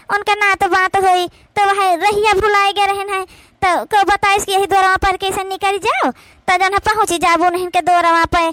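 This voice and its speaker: Cartoon daughter-in-law who dodges chores, acted by a woman: sly and rambling, high bright voice, Awadhi accent. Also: dry recording. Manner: evasive, rambling with filler phrases. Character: sly, work-shy village daughter-in-law, adult woman. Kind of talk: village comedy cartoon dialogue.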